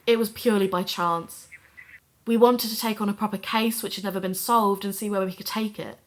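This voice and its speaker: A woman's voice: medium pitch, fairly even intonation, fairly quick, close to an everyday voice. A woman in her twenties voicing a troubled young podcaster in an audio drama, talking candidly to a voice recorder. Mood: earnest. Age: 20s. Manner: candid, self-deprecating, talking to herself. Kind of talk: audio drama, diary-style monologue.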